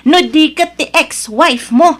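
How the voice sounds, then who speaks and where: A woman's voice: sits high, a little slow, a bit bright. A woman acting a jealous, demanding wife in an Ilocano family drama, accusing and confrontational. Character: jealous, demanding, suspicious wife named Jinky.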